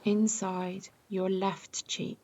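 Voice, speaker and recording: A woman guiding a yoga nidra meditation in a slow, soft and soothing way, with long pauses between phrases. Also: mid-range voice, flat delivery, muffled recording, slight background noise. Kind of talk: guided meditation.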